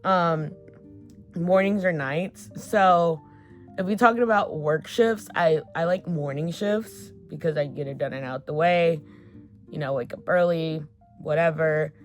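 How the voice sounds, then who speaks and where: A young woman in her twenties talks straight to her camera in a relaxed get-to-know-me vlog. Her voice sits low and she takes her time, chatty and filler-heavy with casual swearing. Faint music plays underneath. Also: measured pace. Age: 20s. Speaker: vlogger.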